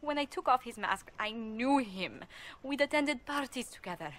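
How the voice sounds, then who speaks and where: An actress playing a gentle, earnest noblewoman ambassador in a video game, speaking politely and formally with careful wording. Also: on the high side, lively intonation, moderate pace, a little hiss or hum. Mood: anguished regret. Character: poised, courteous noblewoman ambassador, former bard, gentle and earnest. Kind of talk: video game cutscene acting.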